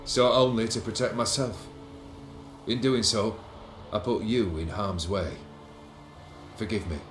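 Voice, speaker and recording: A man playing a slow, world-weary, melancholy nobleman. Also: on the low side, fairly even intonation, echoey room, faint music behind, close to an everyday voice. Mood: grieving, bitter. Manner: eloquent, formal, brooding. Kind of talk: video game voice acting.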